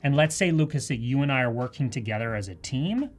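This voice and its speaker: Female live tutorial host, Canadian accent, low-lying voice for a woman, chatty and keen, conversational delivery. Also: expressive, fast talker. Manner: chatty, enthusiastic. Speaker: presenter of a live software tutorial. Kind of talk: live demonstration, casual and conversational.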